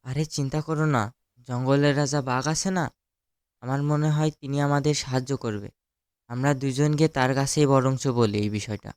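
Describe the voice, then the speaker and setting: A man's voice: medium pitch, steady tone, fairly quick, a little mellow, clean tone, dry recording, clean studio sound, lightly acted. A man voicing a helpful, watchful animal friend in a children's cartoon, earnest and explanatory. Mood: earnest, warning.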